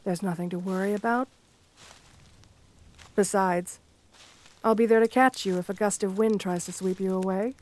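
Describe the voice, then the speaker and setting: A woman's voice: medium pitch, steady tone, moderate pace, pauses often, a little mellow, close to an everyday voice. Woman voicing a shy, courtly gargoyle girl. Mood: reassuring. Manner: formal, polite, endearing diction with shy hesitations. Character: shy, lonely, romantic gargoyle woman, gentle and bookish. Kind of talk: ASMR fantasy roleplay, intimate one-sided dialogue.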